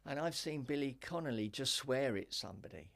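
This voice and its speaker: An older woman with a deep voice, a stand-up comedian telling wry anecdotes in a relaxed interview chat. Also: fairly quick, creaky. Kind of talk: casual interview chat, storytelling.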